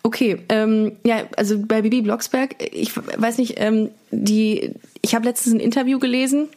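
A woman's voice: a little high, fairly even intonation, normal speed, dark tone. A woman with a German accent, talking casually as the host of a podcast.

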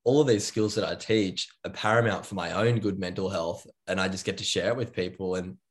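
A man's voice: deep voice, fairly even intonation, brisk. A man hosting a podcast, asking his guest questions in an encouraging, friendly way. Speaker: podcast host. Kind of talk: casual interview questions.

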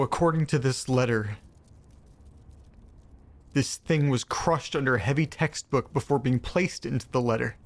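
A man acting in an audio drama as a shaken narrator reading an eerie first-person account, with deliberate, broken phrasing and dramatic pauses. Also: medium pitch, close to an everyday voice. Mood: disbelieving.

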